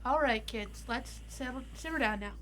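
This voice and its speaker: A woman's voice: on the high side, moderate pace, fairly bright tone, slight background noise. A woman playing a tabletop roleplaying game, bantering casually in a bossy, joking manner.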